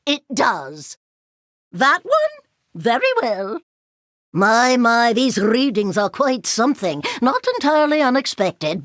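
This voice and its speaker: A woman's voice: high-pitched, a bit bright, no room sound, studio quality, heavily acted. A woman voicing a fussy, curious elderly scientist in a video game, prim, precise and motherly in her way of talking. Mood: approving, then fascinated. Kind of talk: animated video game character voice lines.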